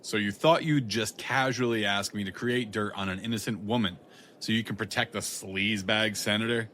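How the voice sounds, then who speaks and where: An actor playing a world-weary, sarcastic, blunt private detective in a noir audio drama. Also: male voice, on the low side, steady tone, normal speed, pushed and bright, a little hiss or hum. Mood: scornful. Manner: sardonic, wry.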